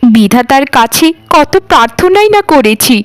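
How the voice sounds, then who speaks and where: A woman's voice: high-pitched, very animated, normal speed, no room sound. A woman voicing a poor, loyal maid in a cartoon, who speaks humbly and respectfully to her mistress. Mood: devoted. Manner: pleading, respectful. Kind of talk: cartoon voice acting.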